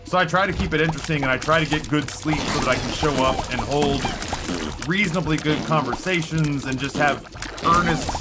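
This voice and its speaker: Chatty streamer with a radio voice. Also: male voice, a little high. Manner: rambling, self-deprecating, talks nonstop. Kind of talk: casual chat while playing a video game. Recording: music playing clearly behind.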